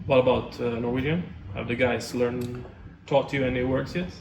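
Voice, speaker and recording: A man interviewing a hockey player, asking questions in a halting way as he searches for words. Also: mid-range voice, fairly even intonation, gravelly, a little mellow. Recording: roomy, distant mic, noisy.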